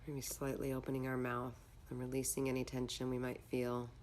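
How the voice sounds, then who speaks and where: A woman leading a guided meditation in a calm, measured, instructional manner. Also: extremely low pitch, a little hiss or hum.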